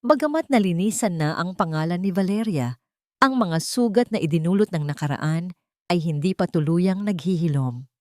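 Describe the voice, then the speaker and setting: A woman's voice: fairly low pitch, animated, moderate pace, a little mellow, no echo, clean studio sound. A woman reading a scripted dramatic love story as an audiobook narrator.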